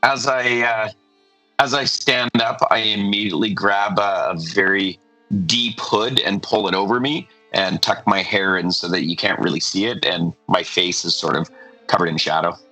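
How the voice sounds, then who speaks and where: Low, bright male player; halting, filler-heavy, dry close mic. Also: steady tone, no room sound, faint music behind, rough recording. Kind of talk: describing his character's actions in a tabletop game.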